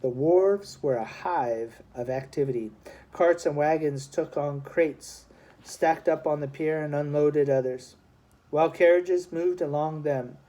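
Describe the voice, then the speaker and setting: Mellow male storybook narrator. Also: on the high side, a little slow. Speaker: audiobook reader.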